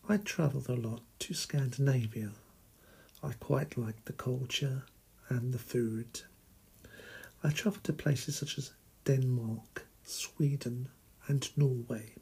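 A middle-aged man from England hosting a solo podcast, talking slowly and deliberately in a husky, gravelly voice. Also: medium pitch, mellow. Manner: slow, deliberate and plain. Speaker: podcast host. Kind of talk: slow solo podcast monologue.

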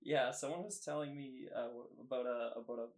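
A man's voice: mid-range voice, fairly even intonation, brisk. A young man from Canada, a guest filmmaker in a casual interview, easygoing and hesitant, with lots of "uh" and "yeah". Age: young adult.

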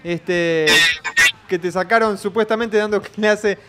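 A man's voice: high voice, fairly even intonation, slow pace, heavy background noise. A man hosting a radio show, joking casually and reading out listener messages. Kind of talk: casual banter.

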